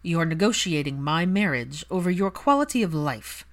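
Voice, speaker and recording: Woman acting bitter, cold aristocratic man, low voice. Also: animated, close to an everyday voice. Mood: cold anger. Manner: forceful, biting, deliberate. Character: bitter adult aristocratic son, cold, angry, resentful.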